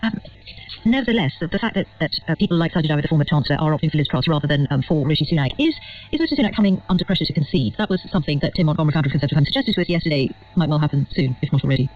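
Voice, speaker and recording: A woman's voice: deep voice, lively intonation, very fast. A British woman presenting live radio news and putting questions to guests as an interviewer. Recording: slightly dull radio broadcast.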